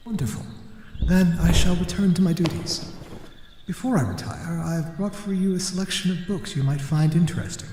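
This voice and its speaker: A man playing a courteous, scholarly library keeper in an ASMR roleplay, with formal, deferential, measured delivery. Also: high-pitched, mellow, soft background music, lightly acted. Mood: attentive. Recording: echoey hall reverb.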